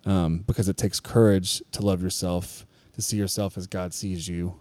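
A man with a low, mellow voice talks openly in a relaxed podcast chat. He rambles a little and restarts his thoughts as he confesses his own struggles. The sound is dry and close. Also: moderate pace, dry recording. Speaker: podcast co-host at a church. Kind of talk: casual chat.

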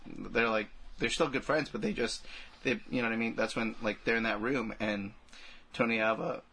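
A man's voice: fairly low pitch, steady tone, normal speed, sharp tone, breathy edge. A man co-hosting a podcast, chatting casually about a film with friends in a rambling, crude way peppered with filler phrases. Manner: rambling, crude humor, frequent "like" and "you know". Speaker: podcast co-host.

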